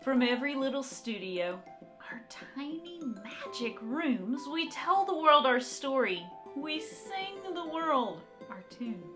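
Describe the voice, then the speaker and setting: A woman art teacher reading aloud and talking to young children in an encouraging, expressive, direct way. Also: high voice, takes it slowly, music in the background. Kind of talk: children's read-aloud and talk to young kids.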